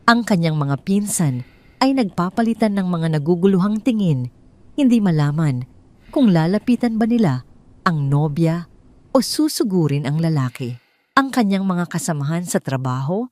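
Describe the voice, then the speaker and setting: A woman's voice: medium pitch, darkish tone. A woman with a Filipino accent reading a scripted dramatic story as its narrator.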